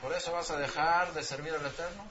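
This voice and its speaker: A man whose first language is Swedish delivers a sermon with emphatic repetition and questions put to his listeners. His voice is bright and forceful and sits a little high. The recording is dull and lo-fi, with faint ambience behind him. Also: steady tone, fairly quick, slight background noise. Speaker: preacher.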